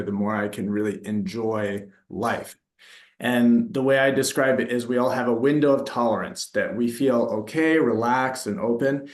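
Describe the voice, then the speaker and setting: Calm American man in a roomy space. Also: medium pitch. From United States. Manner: calm, thoughtful, searching for words. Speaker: somatic therapist, workshop facilitator. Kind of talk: reflective interview answers. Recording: roomy echo.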